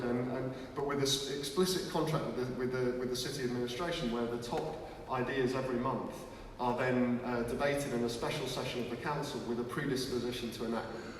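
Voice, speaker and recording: A man's voice: mid-range voice, slight background noise. A man giving a live presentation at a launch event, talking energetically with many restarts. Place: large museum hall. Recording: echoey hall from far off.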